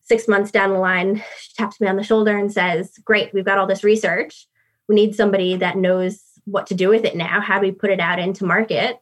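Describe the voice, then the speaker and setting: A woman's voice: mid-range voice, flat delivery, brisk, low-quality recording. A woman in her thirties with a New York accent, telling her career story as a podcast guest in a chatty way, with many "like"s. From New York City. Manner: chatty, fillers like "like". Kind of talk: casual interview talk.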